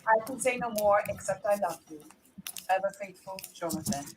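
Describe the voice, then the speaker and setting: A woman playing an earnest young English solicitor held captive, reading his journal gravely and dramatically for a radio play. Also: medium pitch, normal speed, background noise, natural voice. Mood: tender.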